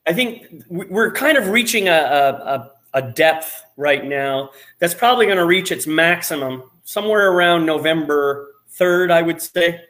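A man's voice: on the high side, expressive, normal speed, hardly any pauses, fairly bright tone. A man hosting a casual chat over a video call, upbeat and hesitant, restarting his sentences often. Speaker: host.

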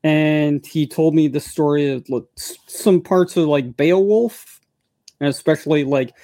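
Man in his forties, from the US West Coast, podcast co-host, gravelly, mellow tone, dry close sound. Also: a little high, measured pace, no room sound. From West Coast of the United States. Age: 40s. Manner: relaxed storytelling, agreeable. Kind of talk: casual chat.

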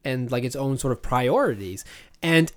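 A man talking casually and colloquially about books in an animated way, like a guest on a podcast. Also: mid-range voice, normal speed. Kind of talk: casual book chat.

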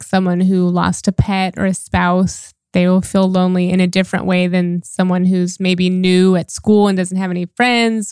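A woman's voice: medium pitch, dry recording, studio quality. A woman hosting a self-help podcast, a life and relationship coach talking in a casual, encouraging way.

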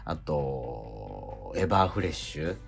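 A man's voice: extremely low pitch, very animated, slow pace, gravelly, faint music behind. A man co-hosting a radio show, chatting casually and telling a rambling story full of fillers. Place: radio studio.

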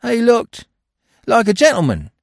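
A cheeky, sullen little crook out of a storybook, put on by a male narrator. His high voice jumps about as he whines and answers back in rough, slangy grammar. He is cunning and defiant, recorded very dry. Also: huge pitch swings, unhurried, no echo, full character voice. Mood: indignant. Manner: whining, indignant, defiant, colloquial grammar. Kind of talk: audiobook character voice.

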